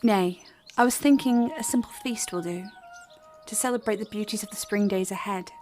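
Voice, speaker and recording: A woman playing a gracious, gentle queen and mother in a fan audio drama, speaking in formal, regal phrases. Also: mid-range voice, moderate pace, natural voice. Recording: music under the voice.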